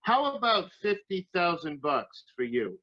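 An actor playing a smug, slangy, sardonic crook in a vintage radio crime drama. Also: male voice, sits high, very animated, unhurried, muffled recording, exaggerated voice. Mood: sardonic, cagey.

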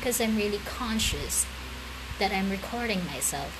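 A woman's voice: medium pitch, animated, normal speed. A woman with as her chatting casually with her listeners about her story, self-conscious and rambling, with many restarts. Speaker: amateur story writer and reader. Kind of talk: casual late-night chat to listeners. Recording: loud background noise.

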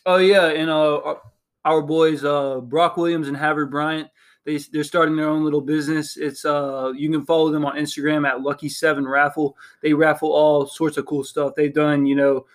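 Young dude on a sports pod, pretty flat delivery, lots of ums, slightly high voice. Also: male voice, normal speed. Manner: hesitant, many ums and restarts. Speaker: podcast co-host. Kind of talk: casual sports chat.

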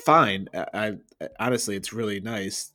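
A young man co-hosting a podcast in relaxed chat, with a deep voice, easygoing and quick to laugh. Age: young adult. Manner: easygoing, laughs a lot, rambling with fillers. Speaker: podcast co-host. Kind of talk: casual chat.

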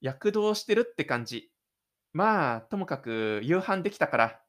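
A man acts out an exasperated mother in an audio drama. She is stern with her teenage son, and the voice sits a little high for a man's. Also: very animated, moderate pace, a bit bright.

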